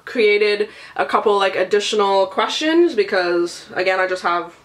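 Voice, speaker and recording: A woman's voice: mid-range voice, moderate pace, poor audio. A woman talking with a stuffy, nasal voice because she is sick. She rambles in a loose, chatty vlog style and swears casually as she goes. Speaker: beauty and book vlogger.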